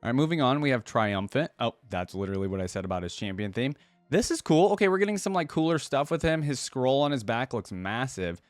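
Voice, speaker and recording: A man's voice: medium pitch, sing-song, fairly quick, no room sound, soft background music. A man hosting a reaction video, commenting casually and informally as he thinks aloud.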